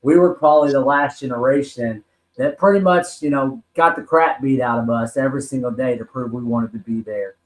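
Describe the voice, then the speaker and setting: A man's voice: medium pitch, fairly even intonation, fairly quick, reverberant, poor audio. A man in his forties telling his life story as a podcast guest, earnest and rambling, with many ums and restarts. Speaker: podcast guest, wrestler and talent manager. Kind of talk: personal story in a podcast interview.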